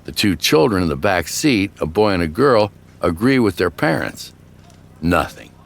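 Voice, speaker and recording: A man with an extremely low voice narrates scripted true crime. His delivery is dramatic and suspenseful, swinging expressively up and down with a gravelly creak. He sounds like the storyteller of a crime documentary. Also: sing-song, normal speed. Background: background music.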